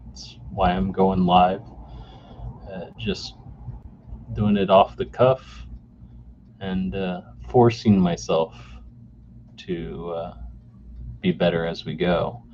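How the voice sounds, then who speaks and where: A man in his forties hosting a solo podcast off the cuff, halting with many fillers and restarts, recorded on a camera mic indoors. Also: sits low, steady tone, darkish tone. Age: 40s. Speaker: podcast host. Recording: dull lo-fi camera mic sound with faint noise.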